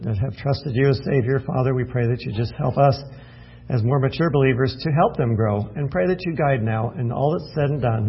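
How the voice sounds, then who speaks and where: A man's voice: medium pitch, breathy edge, highs cut off. A man preaching at an evening Bible study in a folksy, self-deprecating way, thinking aloud and restarting his phrases. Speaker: pastor.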